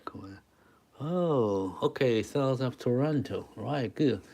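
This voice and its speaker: Slow-talking man with a Taiwanese accent. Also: medium pitch, animated, warm tone. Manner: halting, repeats short phrases, talks to viewers. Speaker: live-stream drawing artist and host. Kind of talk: casual live-stream chat with drawing demonstration.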